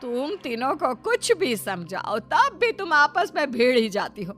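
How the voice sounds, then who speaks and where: A woman's voice: high-pitched, sing-song, measured pace, a bit bright, soft background music, full character voice. A woman playing scolding, sarcastic women of a squabbling household in a cartoon family story. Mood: stern. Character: bickering, sarcastic daughters-in-law and scolding mother-in-law.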